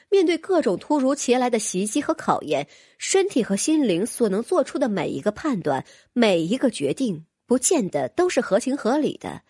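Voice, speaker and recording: A woman's voice: fairly high pitch, a little mellow, breathy edge, dry recording, clean studio sound. A woman narrating a fantasy audiobook from a script, as a storyteller.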